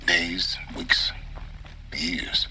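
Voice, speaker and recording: A man's voice: deep voice, flat delivery, bright, forceful tone, a little hiss or hum, full character voice. A man playing an aloof, cerebral older mentor in a video game cutscene, coldly superior, dry and articulate. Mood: dry, imperious. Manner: dry, imperious, deliberate, articulate.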